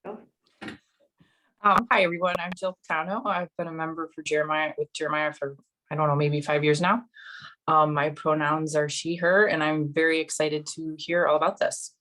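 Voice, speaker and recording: A woman's voice: low-pitched, flat delivery, moderate pace, a bit bright, poor audio. A woman congregation member introducing herself briefly and a little hesitantly.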